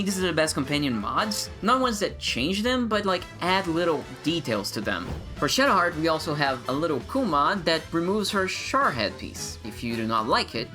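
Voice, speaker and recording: A woman's voice: low-pitched, fairly bright tone. A woman giving casual, sarcastic commentary on game mods, with playful mock-dramatic rants. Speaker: gaming video creator.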